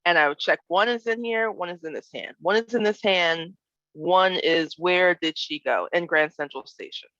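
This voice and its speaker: A woman guest preacher giving an expressive, reflective sermon that mixes personal storytelling with emphasized phrases and questions to her listeners. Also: on the low side, normal speed, no echo. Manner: expressive, acts out imagined speech and emphasizes key words. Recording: dull, lo-fi online worship audio.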